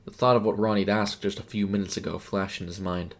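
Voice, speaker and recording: A man's voice: lowish voice, steady tone, fast talker, gravelly. A man narrating a scripted horror story. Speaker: narrator and voice performer.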